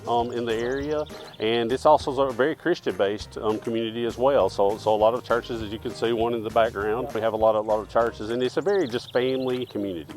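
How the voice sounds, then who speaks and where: A man's voice: mid-range voice, steady tone, fast talker, darkish tone, faint music behind. Man, mayor. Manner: friendly, repeats words when starting a phrase. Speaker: town mayor. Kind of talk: outdoor interview. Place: outdoors in a park.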